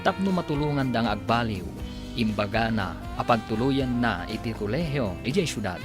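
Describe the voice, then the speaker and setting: A man's voice: medium pitch, animated, normal speed. A man with a Filipino accent, narrating and announcing a scripted radio drama. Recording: music under the voice. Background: music.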